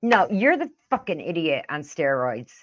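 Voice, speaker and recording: A woman's voice: sits low, sing-song, normal speed, muffled recording. A middle-aged woman giving casual, profane reaction commentary in a blunt, indignant, scolding manner. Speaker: reaction video host.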